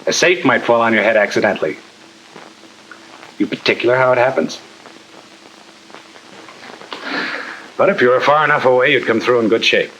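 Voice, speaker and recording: An actor playing a tough-talking, slangy army sergeant who lectures in a 1950 military training film. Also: male voice, mid-range voice, quick pace, fairly bright tone, highs cut off, a little hiss or hum, natural voice. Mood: blunt, reassuring. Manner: blunt, slangy, wisecracking. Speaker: instructor.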